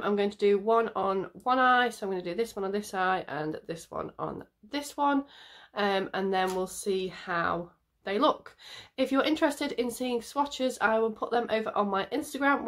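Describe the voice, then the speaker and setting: A woman with a nasal, bunged-up voice from a cold, chatting in a rambling way while doing her makeup on camera, with lots of ums. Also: mid-range voice. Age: adult parent. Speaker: makeup vlogger. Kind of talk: casual makeup tutorial chat.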